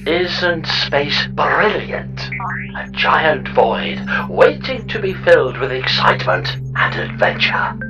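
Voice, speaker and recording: An upbeat female pod computer in a comedy radio play. The voice is low for a woman, slow and sing-song, blithely obliging, with music behind it. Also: big pitch swings, far from the mic, highs cut off, full character voice. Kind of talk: audio comedy drama acting.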